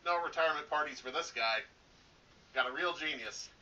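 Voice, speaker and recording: A man playing a nervous, rambling pizzeria employee in a parody horror-game phone message, halting and falsely reassuring, on a cheap telephone-like microphone. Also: on the high side, sharp tone. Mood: muttering, callous. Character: nervous, rambling phone-message guy briefing a doomed night guard. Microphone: cheap replacement microphone.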